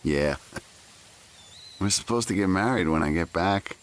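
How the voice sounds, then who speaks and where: An actor playing a tough American Marine sergeant with a gravelly voice in a war game. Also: male voice, low-pitched, slow, slight background noise. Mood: wistful. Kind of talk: game cutscene dialogue.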